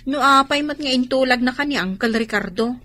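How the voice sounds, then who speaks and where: A woman's voice: sits high, brisk, bright, forceful tone. A woman acting in an Ilocano radio drama as a concerned, sympathetic cousin.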